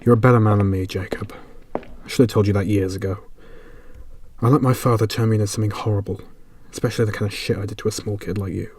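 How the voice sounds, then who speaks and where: A brooding guilt-ridden detective. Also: male voice, on the low side, fairly even intonation, quick pace, a little mellow, breathy edge, no echo. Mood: earnest. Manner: earnest, brooding. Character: guilt-ridden man, detective, troubled by past. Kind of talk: audio drama acting.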